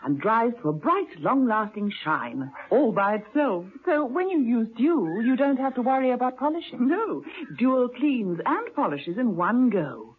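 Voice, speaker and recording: A woman's voice: a little high, big pitch swings, a little slow, long pauses, breathy edge, exaggerated voice. A woman playing a chatty housewife in a 1970s radio commercial, chirpy and sing-song. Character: chatty female housewife in a floor-cleaner ad. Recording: tinny old radio broadcast.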